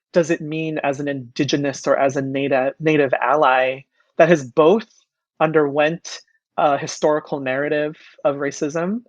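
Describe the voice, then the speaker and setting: A speaker from Hawaii whose first language is Hawaiian, a choral artist answering interview questions thoughtfully, with frequent pauses and restarts. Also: mid-range voice, no room sound, dull audio. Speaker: choral artist, podcast guest. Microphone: video call.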